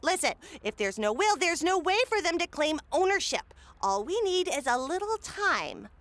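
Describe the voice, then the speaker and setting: A woman's voice: sits high, big pitch swings, moderate pace, a bit bright, no room sound. A woman playing a scheming, impatient small-town mayor in a comedy audio drama, bossy and dismissive in a theatrical way. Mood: excited, bossy.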